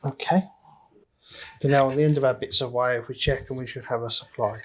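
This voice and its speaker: A man presents a hands-on DIY tutorial in a casual, matter-of-fact way. His voice sits at a middling pitch, and he talks through each small step as his hands do the job. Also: steady tone, fairly quick, slight background noise. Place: indoor electronics workbench.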